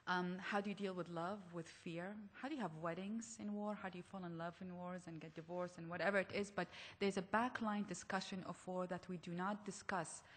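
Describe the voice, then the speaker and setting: A woman from Iraq addresses a conference panel with an earnest, personal tone. Her slightly low voice rings out across a large reverberant hall. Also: steady tone, a little fast, hardly any pauses. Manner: earnest, personal, rhetorical questions.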